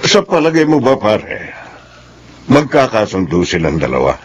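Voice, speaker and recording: An actor playing a stern middle-aged father in a radio drama, commanding and emphatic, with a husky voice. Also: fairly low pitch, huge pitch swings, moderate pace, a little hiss or hum. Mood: chatty, familiar. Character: stern, domineering father who insists on being obeyed.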